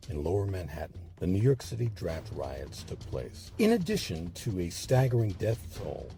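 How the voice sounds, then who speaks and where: A man narrating scripted history as a documentary host, with measured, emphatic storytelling. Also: sits low, mellow, breathy edge.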